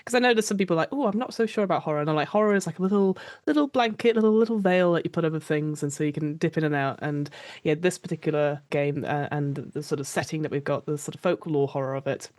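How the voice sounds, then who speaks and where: A woman's voice: low-pitched, quick pace, darkish tone. A woman guesting on a roleplay podcast, chatting casually in an effusive way. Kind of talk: casual chat.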